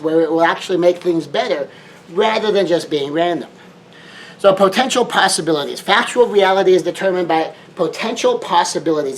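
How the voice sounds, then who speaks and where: A man's voice: high-pitched, a little hiss or hum, rough recording. A man from Southern California, a life coach, giving a motivational talk with repetitive, emphatic phrasing and shifting tempo.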